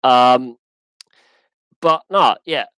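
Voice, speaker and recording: A man with an English accent hosting a live sports podcast Q&A, talking in an animated, emphatic way that often restarts and repeats itself. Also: medium pitch, extremely slow, smooth, no room sound. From England. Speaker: podcast host. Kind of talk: live Q&A rant.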